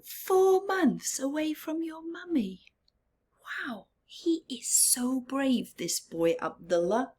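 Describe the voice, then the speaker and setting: A woman storyteller reading a picture book to children expressively, with dramatic emphasis, drawn-out words and hushed, chatty asides. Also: sits high.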